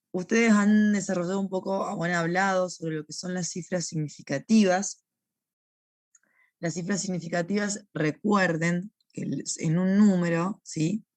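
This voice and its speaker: A young woman lectures into a recorded online class, her voice hoarse and a little rough from a lingering cough. She explains things patiently and keeps checking that everyone is following. The sound is dry and close, with no room echo. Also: on the low side, steady tone, measured pace, a little mellow. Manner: patient, repeats points and checks in with "¿sí?". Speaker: university teaching assistant.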